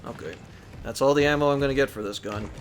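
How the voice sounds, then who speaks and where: A man streaming a video game, reacting loudly, swearing and laughing as he plays. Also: medium pitch, quick pace, soft background music. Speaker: gamer streaming a playthrough. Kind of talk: live gameplay commentary.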